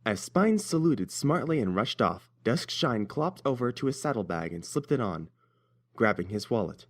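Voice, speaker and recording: A man's voice: medium pitch, big pitch swings, moderate pace. A man narrating a scripted story as its reader.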